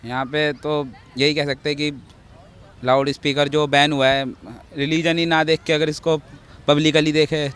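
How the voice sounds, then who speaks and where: A man giving a measured opinion in an outdoor street interview, mixing in English words. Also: fairly high pitch, level tone, a bit bright, clean tone. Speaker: member of the public giving opinion.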